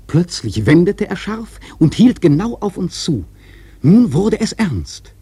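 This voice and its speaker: Radio drama narrator: male whaler, dark, very raspy voice, solemn literary storytelling. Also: high-pitched, very animated, measured pace, no echo, exaggerated voice. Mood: urgent.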